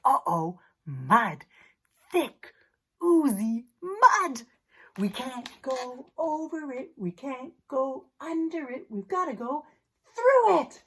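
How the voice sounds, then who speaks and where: A woman telling a children's picture-book story in an expressive, theatrical way, drawing out words for young listeners. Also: high-pitched, slow, breathy edge. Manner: addresses young listeners directly. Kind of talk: animated children's story time, chanted picture-book reading.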